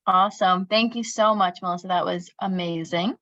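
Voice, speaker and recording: A woman's voice: on the low side, fairly even intonation, normal speed, fairly bright tone, dry recording. Woman hosting, dry and slightly muffled audio. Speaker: forum host. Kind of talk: scripted introduction of a speaker.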